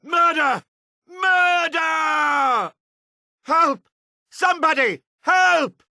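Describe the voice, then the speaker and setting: An actor playing a gruff, suspicious middle-aged dark elf man in a fantasy video game, raspy and curt with a wary, sneering edge. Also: extremely high pitch, drawn-out words, no room sound, studio quality, heavily acted. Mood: panicked shouting. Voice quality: gravelly, raspy. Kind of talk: video game character barks.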